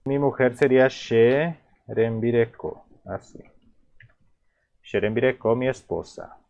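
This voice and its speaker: A man from Paraguay with a Paraguayan Spanish accent and a Guarani mother tongue, teaching phrases in a relaxed, halting way with many repeats and restarts. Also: medium pitch, creaky, dull audio. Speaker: language teacher. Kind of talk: explaining vocabulary in a video lesson.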